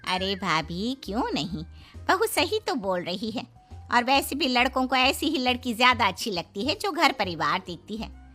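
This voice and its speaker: A woman's voice: a little high, sing-song, normal speed, pushed and bright, faint music behind. A woman playing a chatty female relative. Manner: reassuring, chatty. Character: talkative female relative giving advice. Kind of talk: cartoon voice acting.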